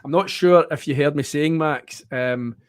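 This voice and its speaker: A man's voice: a little high, measured pace, no echo, studio quality. A Scottish man giving chatty live play-by-play tennis commentary for an online watchalong, with friendly asides to his co-host. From Scotland.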